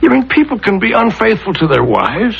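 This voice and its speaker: An actor playing a troubled, defensive married man, halting and emotional, in a 1970s radio drama. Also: high-pitched, sing-song, gravelly, breathy edge, exaggerated voice. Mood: anxious, pleading. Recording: dull lo-fi 1970s radio recording.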